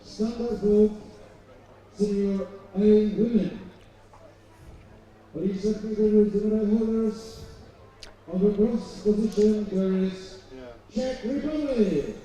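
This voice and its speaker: A man making a formal public-address announcement at a ceremony, with a drawn-out delivery. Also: sits high. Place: heard from afar over the venue's public address. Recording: muffled, echoing distant loudspeaker announcement.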